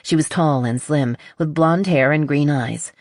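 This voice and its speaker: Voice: mid-range voice, measured pace, a little mellow, no echo. Dry, close audiobook narrator. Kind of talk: scripted romance fiction narration.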